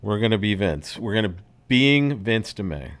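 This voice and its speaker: A man from upstate New York hosting live bridge commentary, jokey and excitable with quick asides. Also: on the low side.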